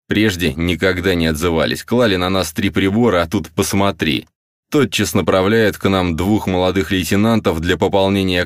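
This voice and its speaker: Man acting out a gruff drunken colonel, low-pitched, blunt, self-reproaching, audiobook, dry sound. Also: no echo. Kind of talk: audiobook character voice.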